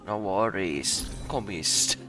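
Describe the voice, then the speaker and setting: A man's voice: low voice, slow. A man giving casual gameplay commentary in muttered asides as he plays. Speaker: gamer narrating his playthrough. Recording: game music behind.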